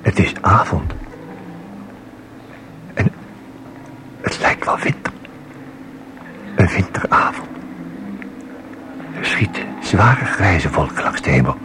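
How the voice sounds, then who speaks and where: An actor in a radio play playing a nervous, hesitant museum visitor, halting and stammering as he repeats himself. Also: low-pitched, lively intonation, noisy background. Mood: hesitant, uneasy.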